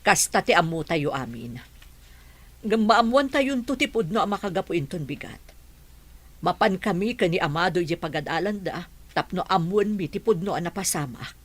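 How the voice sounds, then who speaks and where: A woman's voice: on the low side, animated, moderate pace. A woman playing an anxious, caring middle-aged mother in an audio drama.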